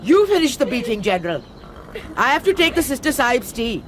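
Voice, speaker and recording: A woman's voice: a little high, very animated, a little fast, slight background noise. An actress playing a spiteful, bossy servant woman in a radio drama, chattering and scolding with glee. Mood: gleeful scolding.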